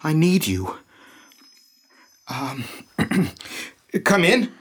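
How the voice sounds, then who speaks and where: An actor in an audio drama playing an earnest young man in his twenties, easily flustered and halting. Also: fairly high pitch, slightly rough, heavily acted. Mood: anxious, tender. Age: 20s. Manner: halting, excitable.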